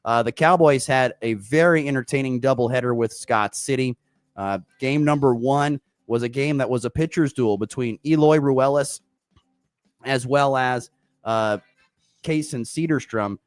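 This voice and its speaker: Hoarse male sports talk host, upbeat, filler-heavy, mid-pitched, dry close sound. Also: no room sound. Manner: upbeat, rambling with many uh fillers. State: hoarse, slight sore throat. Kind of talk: morning sports talk show.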